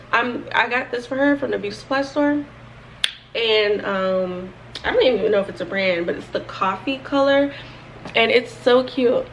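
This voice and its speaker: Young woman, early 20s, casual storytime vlogger, chatty and rambling, gravelly fry, slightly distant mic. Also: fairly high pitch, a bit bright, slight background noise. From Florida, United States. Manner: chatty, rambling, with many fillers and restarts.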